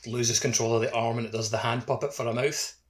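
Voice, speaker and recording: A man's voice: mid-range voice, level tone, fast, background noise. A man co-hosting a gaming podcast, chatting casually about a video game. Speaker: podcast co-host.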